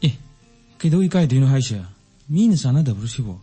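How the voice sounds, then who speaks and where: A man's voice: medium pitch, lively intonation, racing, warm tone, breathy edge, faint music behind. A man acting in a radio drama as a protective, practical relative who soothes and urges in a conversational way. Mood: casual.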